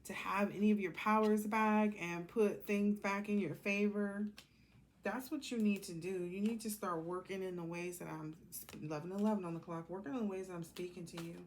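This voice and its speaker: A woman's voice: medium pitch, steady tone, brisk, a little hiss or hum. A woman giving a casual, intuitive tarot reading, speaking informally and bluntly as she drifts between asides. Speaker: tarot reader.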